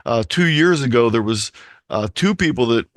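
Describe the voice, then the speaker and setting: Man, from Ohio, medium pitch, conversational presenter, explanatory, dry sound, online meeting. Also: lively intonation, normal speed, no echo. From Ohio, United States. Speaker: presenter of an amateur radio talk. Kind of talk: informal explanatory presentation on a video call.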